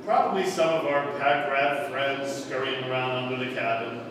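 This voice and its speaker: A man's voice: mid-range voice, fairly even intonation, moderate pace, noisy background, natural voice. A man playing an unfaithful, evasive husband in a 1940s radio mystery, dry and a little hesitant. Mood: affectionate. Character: unfaithful husband, evasive, curt with his wife. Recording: echoey hall, far from mic, music.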